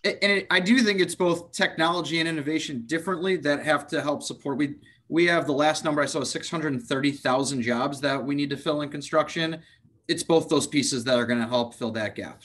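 A man's voice: fairly high pitch, fairly quick, a bit bright. A man speaking informally as a panelist in a discussion held over a video call.

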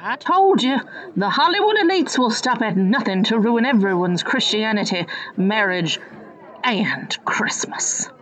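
A woman's voice: fairly high pitch, lively intonation, measured pace, a bit bright, a little hiss or hum, poor audio. A woman playing a pious, nostalgic small-town matriarch with a folksy, preachy manner in a satirical radio play. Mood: indignant, gloomy.

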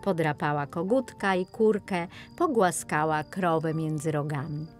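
A woman's voice: low voice, measured pace, soft background music. A woman reading a children's fairy tale as a scripted audiobook narration.

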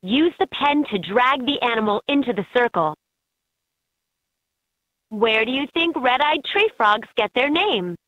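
A woman's voice: a little high, expressive, a little slow, long pauses, fairly bright tone, dry recording. A woman reading scripted narration as the guide in a children's learning game, recorded as lo-fi toy audio. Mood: instructive.

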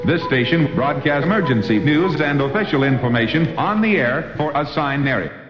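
A man's voice: a little high, animated, brisk, soft background music. A man giving a formal emergency broadcast announcement. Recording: dull, echoey broadcast with long reverb.

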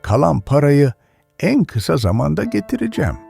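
A man's voice: on the high side, sing-song, normal speed, creaky, breathy edge, faint music behind, heavily acted. An actor playing a kind, pious old village grandfather who teaches patiently in a children's cartoon. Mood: gently moralizing. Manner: instructive, gentle moralizing. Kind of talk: educational children's cartoon dialogue.

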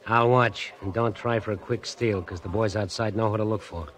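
A man's voice: on the low side, fairly even intonation, moderate pace, lightly acted. An actor playing a sardonic, menacing gangster henchman in a 1940s radio crime drama, talking in curt, slangy threats. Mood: hard, threatening. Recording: vintage 1940s radio recording.

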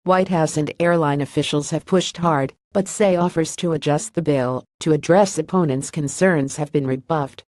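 Flat synthetic news reader voice, dry. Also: medium pitch, moderate pace, few pauses, no room sound. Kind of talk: scripted news reading.

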